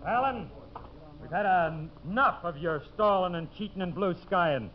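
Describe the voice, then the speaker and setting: An actor playing an angry, threatening lumberjack in a blunt, menacing manner in a 1950s radio drama. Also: male voice, sits high, expressive, moderate pace. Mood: angry, demanding. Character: angry, threatening lumberjack with a gun, demanding pay. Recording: muffled old radio recording, faint noise.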